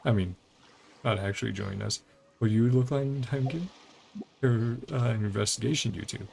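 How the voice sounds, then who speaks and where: A man's voice: medium pitch, fairly quick, vocal fry, a little mellow. A man streaming a video game, giving casual, rambling, laid-back commentary as he plays.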